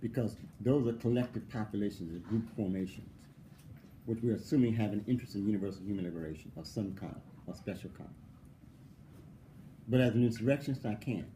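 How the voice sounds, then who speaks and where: A man's voice: on the low side, a little fast, warm tone, slight background noise. A male philosophy professor answering an interviewer in a conversational way. He asks mocking rhetorical questions, laughs often and repeats points for stress.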